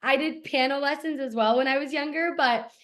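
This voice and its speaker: A young woman from Toronto, Canada, with a raspy voice, talking eagerly as a guest in a casual interview. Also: high voice, brisk, fairly bright tone. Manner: eager, gushing, repeats how much she loves things. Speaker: guest actor.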